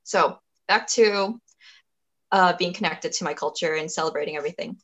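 A woman's voice: on the low side, normal speed, fairly bright tone. A young woman from Canada, a podcast guest, speaking earnestly and thoughtfully in a reflective conversation, often restarting her sentences.

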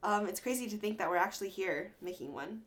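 A woman's voice: mid-range voice, fast talker. A young woman from Atlanta co-hosts a casual college radio podcast. She sounds upbeat and laughs often, moving between loose chat and lines she has prepared. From Atlanta, Georgia. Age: young adult. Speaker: podcast co-host.

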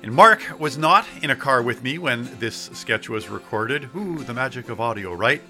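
Man, podcast host, wry, self-deprecating, bright tense tone. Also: mid-range voice, animated, moderate pace, faint music behind. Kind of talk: comic sketch then scripted podcast outro.

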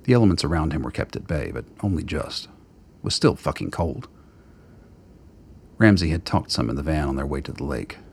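A man narrating a scripted radio drama in a measured, literary storytelling manner. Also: rumbling low, sing-song, creaky, slightly rough.

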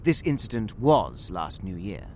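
A man's voice: lowish voice, sing-song, measured pace, slight background noise. A British man playing a genteel, talkative Victorian magazine writer in an audio drama, rambling and theatrical in manner. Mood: animated, intrigued. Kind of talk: audio drama acting in period English.

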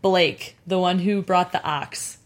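A woman co-hosting a casual podcast, talking in a measured, conversational way. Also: on the low side, steady tone. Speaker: podcast co-host. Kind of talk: casual chat.

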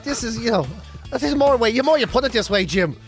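High nasal Irish man, jokey. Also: animated, fast talker, soft background music. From Ireland. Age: 40s. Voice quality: nasal, slightly lispy from a mouth ulcer. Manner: jokey, self-mocking. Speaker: radio co-host. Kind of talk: morning radio banter. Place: radio studio. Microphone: studio mic.

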